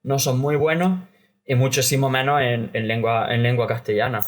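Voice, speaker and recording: Male panelist, medium-pitched, measured and somewhat formal, giving advice in discussion. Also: steady tone. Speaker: podcast guest giving advice on language study.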